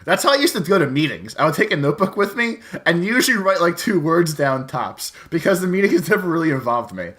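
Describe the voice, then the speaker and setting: A man's voice: a little high, sing-song, fast talker, few pauses, fairly bright tone. A man co-hosting a casual comedy podcast, talking in a brash, joking way with free swearing. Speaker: podcast co-host.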